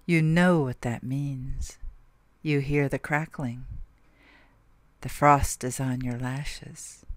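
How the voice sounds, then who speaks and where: Poetry reciter, middle-pitched, unhurried and intimate, dramatic delivery, very dry close sound. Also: a bit bright, no room sound. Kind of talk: poetic spoken-word recitation.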